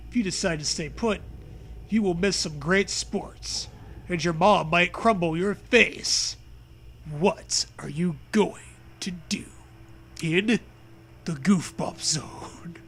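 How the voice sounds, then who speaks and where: High-pitched bright man narrating comedy. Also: a little hiss or hum. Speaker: co-host reading a comedic story aloud. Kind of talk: comedic story narration with casual banter.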